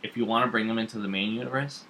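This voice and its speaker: A man chatting casually, animated and opinionated, nitpicking in quick bursts. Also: fairly low pitch, a little hiss or hum. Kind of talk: casual chat.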